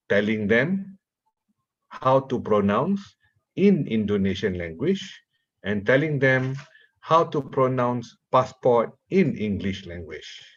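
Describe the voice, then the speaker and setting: A man from Malaysia whose first language is Malay, giving a slow, deliberate university lecture and putting questions to his audience. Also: mid-range voice, dull audio. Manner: slow, deliberate, repeats words while asking the audience questions.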